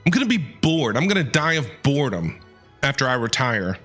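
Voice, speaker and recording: A male streamer reacting casually to a game, his pitch jumping around a lot. He jokes, repeats himself and answers characters back, with faint music behind him. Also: very animated, brisk, a bit bright. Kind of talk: casual live game commentary.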